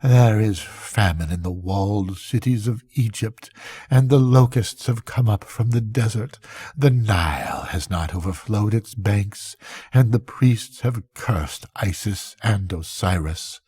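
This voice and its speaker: An older man voicing Avarice, a miserly, possessive, defiant female spirit, in slow, emphatic archaic speech for a bedtime story. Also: lowish voice, dark tone, husky, dry recording. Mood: pleading, reproachful.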